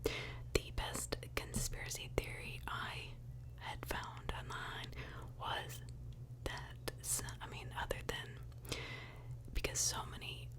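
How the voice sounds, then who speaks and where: Slow whispering woman. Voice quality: unvoiced breathy whisper. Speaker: ASMR true crime video host. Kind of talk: whispered ASMR true crime storytelling. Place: small closet in a house.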